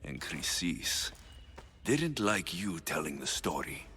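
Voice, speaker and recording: An actor playing a blunt, determined Spartan mercenary in a video game, with a sardonic edge. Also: male voice, low-pitched, sing-song, slow, sharp tone, exaggerated voice. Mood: scornful, accusing. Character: blunt, determined male Spartan mercenary searching for his mother.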